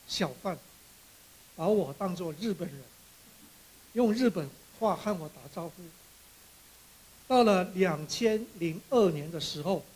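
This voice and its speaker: Male author at a podium-style speech, high husky voice, halting measured delivery. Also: a little mellow, rough recording. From Borneo, Malaysia. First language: Chinese. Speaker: author giving an acceptance speech. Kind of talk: prepared speech, read in short phrases.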